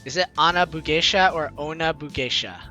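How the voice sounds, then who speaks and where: A gaming host reading a visual novel aloud and reacting with expressive, ad-libbed commentary. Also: mid-range voice, a little fast, soft background music. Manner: exclaims and voices dialogue expressively. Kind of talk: playthrough narration with animated ad-libbed reactions.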